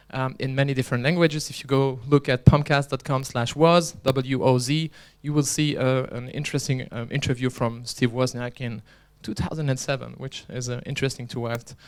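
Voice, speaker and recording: Clear-voiced man giving a joking conference talk. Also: medium pitch, steady tone, normal speed, hardly any pauses. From Belgium. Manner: chatty, joking, restarts often. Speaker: conference speaker and organizer. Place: conference hall.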